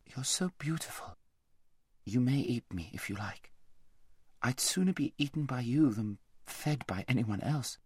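A man voicing a meek, adoring female horse in a storybook reading, soft and breathy. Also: mid-range voice, lively intonation, measured pace, no echo, studio quality. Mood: timid adoration.

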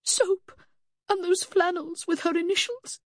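A woman's voice: extremely high pitch, fairly even intonation, unhurried, dry recording, voice pushed up. A woman voicing a furious, grieving middle-aged mother in an audiobook, haughty, demanding and indignant. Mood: stubborn, desperate. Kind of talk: audiobook character acting.

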